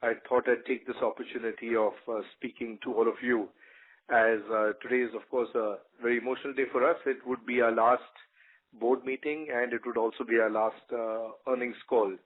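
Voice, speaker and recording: Even-toned executive on a phone line. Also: male voice, mid-range voice. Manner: halting, appreciative. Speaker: company executive. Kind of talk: semi-formal thank-you address on a conference call. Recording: narrow tinny phone line.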